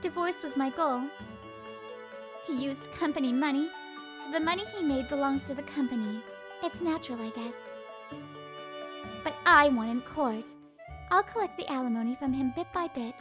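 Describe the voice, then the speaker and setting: A blunt, fierce woman from a manga video who tears into the man who wronged her, as a woman acts her out. Her voice is very high, with music playing clearly underneath. She scolds sharply and speaks softly when she confides. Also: normal speed, long pauses, close to an everyday voice.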